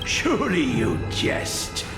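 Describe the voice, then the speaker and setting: A man's voice: fairly high pitch, wildly swooping pitch, takes it slowly, heavily acted. A man playing a haughty, zealous priest in a fantasy game, speaking formally with pompous disdain for heretics. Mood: scornful. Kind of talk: fantasy video game acting. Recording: echoey hall with music behind.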